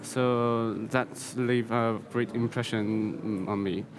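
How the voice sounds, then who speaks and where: An eighteen-year-old man from China, a native Chinese speaker, answers slowly in English. He pauses often to find the right word. His voice is fairly low, with a crowd clearly audible behind him. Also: fairly even intonation. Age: teens. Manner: halting, searching for words. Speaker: competition pianist guest.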